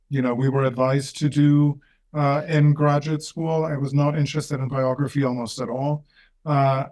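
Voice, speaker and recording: A man from Greece, a professor and author, answering interview questions thoughtfully with frequent pauses and restarts. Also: fairly high pitch, darkish tone, poor audio. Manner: thoughtful, hesitant, many fillers and restarts. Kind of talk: interview conversation.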